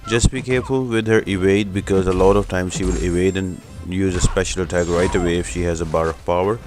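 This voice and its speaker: A man's voice: sits low, fairly even intonation, quick pace, over music. A man giving a casual, explanatory gameplay walkthrough as the host of a video game guide. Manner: explanatory, instructional.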